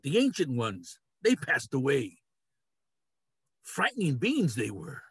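Older guy doing big tired walrus, husky and creaky, grumbly, stage play reading. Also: mid-range voice, wildly swooping pitch, takes it slowly, no echo, clean studio sound, full character voice. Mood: grave. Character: large, weary old walrus on an animal council. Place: small cluttered room at home. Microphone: video call.

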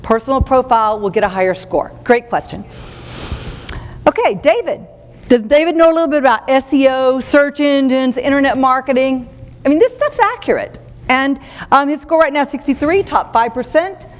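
A woman with a fairly high voice gives a seminar keynote in a brisk, practical, no-nonsense manner. Her words ring out with the long reverb of a big event hall. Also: lively intonation. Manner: brisk, practical, direct advice. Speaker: keynote speaker. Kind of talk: live seminar talk.

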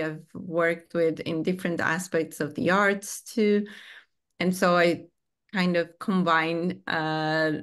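A woman's voice: fairly low pitch, clear, resonant tone. A woman in her thirties from Portugal, a Portuguese native speaker, introducing herself as a program director on a webcam from a room at home; careful, with restarts. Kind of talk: panel self-introduction. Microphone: video call webcam.

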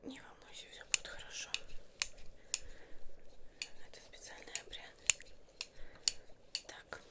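Young woman whispering an ASMR roleplay. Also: slow. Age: young adult. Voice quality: breathy, unvoiced whisper. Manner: soft, murmuring, reassuring, addresses the listener as a client. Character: witch seamstress fussing over fabrics for a client. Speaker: ASMR roleplayer playing a witch seamstress. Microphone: close microphone, facing camera.

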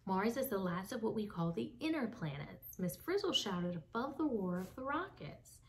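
A young woman reading a children's book aloud expressively, with dramatic pauses, addressing young listeners. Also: mid-range voice, big pitch swings. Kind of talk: expressive read-aloud storytelling. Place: small home room with bookshelves. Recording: slightly distant mic, faint background noise.

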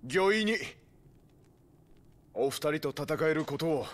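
A man's voice: fairly high pitch, lively intonation, slow pace. A man playing a loyal resistance knight in a Japanese video game, speaking formally with deference and earnest conviction. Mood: earnest, respectful.

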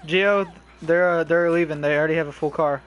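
A young man chatting casually and swearing while he plays a horror game with teammates, giving quick directions. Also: on the high side, steady tone. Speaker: streamer playing a horror survival game. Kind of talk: casual gameplay chat with teammates. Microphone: console headset mic.

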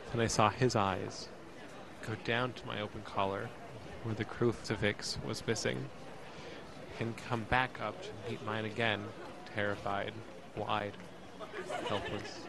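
A man playing a frightened young man, narrating a tense ordeal in first person in an audio drama, halting and intense. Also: on the low side, flat delivery, vocal fry. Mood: choked, tender. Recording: busy airport crowd behind.

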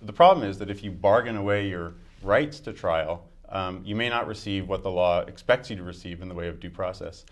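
A man's voice: deep voice, fairly quick, hardly any pauses. A man in his forties, answering interview questions fluently and argumentatively with fillers. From United States. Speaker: judicial candidate. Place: small studio with dark curtains. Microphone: lapel mic.

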